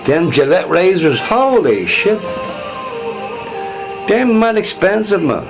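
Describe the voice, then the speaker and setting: A man's voice: high voice, very animated, slow, creaky, music in the background. A man streaming on his own, chatting casually in a rambling, profane way and laughing often. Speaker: livestreamer. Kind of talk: rambling solo stream chat.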